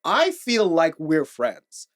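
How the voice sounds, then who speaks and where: A man's voice: on the high side, wildly swooping pitch, slow pace, fairly bright tone, no echo, clean studio sound. A man chatting as a podcast guest, wry and playful with a self-deprecating edge. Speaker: comedian guest. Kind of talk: casual podcast chat.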